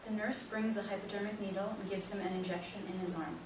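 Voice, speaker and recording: A woman's voice: mid-range voice, fairly even intonation, fast. A woman reading stage directions as scripted narration for a play reading. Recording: echoey room, distant mic, noisy.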